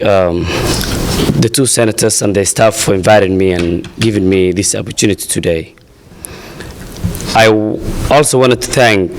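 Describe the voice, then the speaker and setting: A young man from Somalia, a refugee advocate, gives a halting, earnest statement at a press conference, full of pauses and fillers. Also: fairly low pitch, noisy background. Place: outdoor press event.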